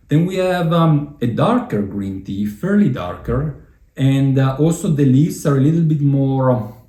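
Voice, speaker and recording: A man with an Italian accent hosting a tea tasting, talking in a relaxed, chatty way with frequent fillers. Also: mid-range voice, echoey room. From Italy. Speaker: tea channel host.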